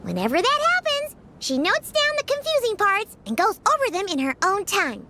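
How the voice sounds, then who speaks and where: A woman voicing a tiny, eager, childlike female fairy companion in a video game, with a squeaky voice and a proud, chirpy manner. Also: wildly swooping pitch, moderate pace, pushed and bright, slight background noise, full character voice. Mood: proud, earnest. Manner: eager, refers to self in third person. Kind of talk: video game character dialogue.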